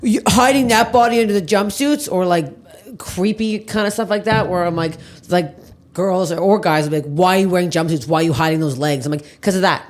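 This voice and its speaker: Blunt, joking woman guest. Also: fairly low pitch. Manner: blunt, jokey, swears freely, quick asides. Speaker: comedian guest. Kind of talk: casual chat.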